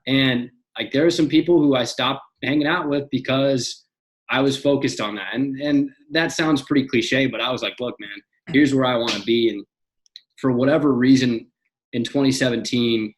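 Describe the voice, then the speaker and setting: A young man in his twenties, a podcast guest talking in an energetic casual way, often repeating and restarting words. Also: medium pitch, moderate pace, poor audio. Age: young adult. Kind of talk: casual interview chat.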